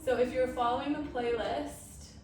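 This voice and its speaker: A woman yoga instructor leading an online class in a gentle, encouraging, instructional way. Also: high-pitched, fairly even intonation, normal speed. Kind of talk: guided vinyasa yoga class. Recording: very echoey, distant, music playing. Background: music.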